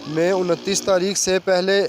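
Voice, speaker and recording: A man with a noticeably high-pitched voice presents political commentary. His intonation stays very flat throughout. He reads in a halting way, occasionally stumbling over words. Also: a little hiss or hum. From Pakistan. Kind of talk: news commentary monologue.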